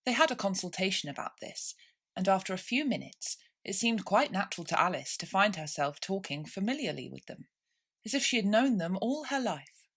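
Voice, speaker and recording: A woman reading a classic children's story aloud in an expressive, scripted narration style. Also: medium pitch, fairly quick, breathy edge. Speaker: storybook reader.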